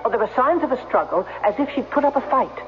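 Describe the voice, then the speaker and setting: An actress playing a genteel, concerned wife in an old-time radio drama. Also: female voice, high voice, animated, fast, music in the background. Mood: somber. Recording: dull vintage radio recording.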